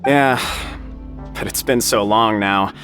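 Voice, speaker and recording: A man playing a wary, gruff but devoted prison crew member in a video game. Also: fairly low pitch, takes it slowly, fairly bright tone, soft background music. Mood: earnest, admiring.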